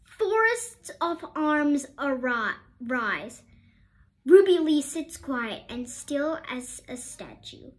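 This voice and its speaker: Animated high-voiced woman reading a storybook. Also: slow. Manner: expressive, questioning asides to young listeners. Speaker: storybook reader. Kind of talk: children's picture-book read-aloud with asides to listeners.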